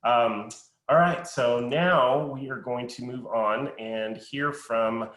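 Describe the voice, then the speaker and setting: A man's voice: mid-range voice, slow, a bit bright. Easygoing man hosting, echoey room. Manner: easygoing, many fillers. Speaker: webinar host. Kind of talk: casual webinar hosting.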